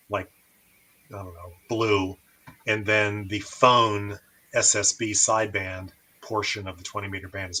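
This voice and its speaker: A man with a fairly low voice and a bright, forceful edge. He talks as a host walking through a live demonstration, methodically explaining each step and pausing between phrases.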